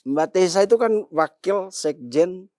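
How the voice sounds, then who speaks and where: A man's voice: sits high, expressive, unhurried, a little mellow, no room sound, clean studio sound. A man hosting a casual interview, teasing and joking with his guest. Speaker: podcast host.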